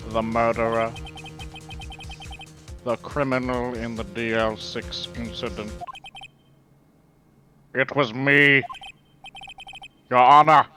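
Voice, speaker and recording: A solemn male lawyer, played by a man in a live game dub, brooding and formal. He speaks slowly and deliberately at a middling pitch, weighed down by guilt, over faint music. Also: pushed and bright.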